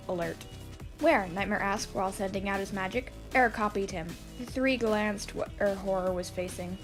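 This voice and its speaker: A woman reading scripted fan fiction as the narrator of an audio story. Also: medium pitch. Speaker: podfic narrator.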